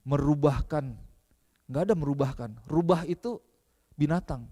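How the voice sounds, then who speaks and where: Indonesian-accented male preacher, filler-heavy delivery, high-pitched, recorded in an echoing church. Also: normal speed, mellow. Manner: switches between English and Indonesian, many fillers. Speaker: pastor. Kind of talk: bilingual sermon. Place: church hall. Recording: long hall reverb.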